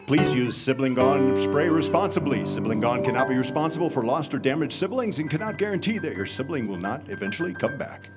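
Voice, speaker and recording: A man playing an excitable radio ad pitchman in a mock commercial, with a theatrical sales delivery. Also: a little fast, few pauses, natural voice. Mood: brisk disclaimer. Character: excitable old-time radio ad pitchman for sibling spray. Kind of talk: mock radio advertisement read from script. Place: live radio show in library meeting room. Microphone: stage microphone on stand. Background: plucked guitar music.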